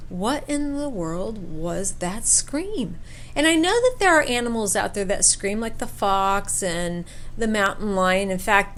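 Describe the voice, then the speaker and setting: A woman's voice: mid-range voice, a little hiss or hum. A woman telling a personal story casually, acting out bits of dialogue and repeating words for emphasis. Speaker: storyteller sharing a personal camping experience.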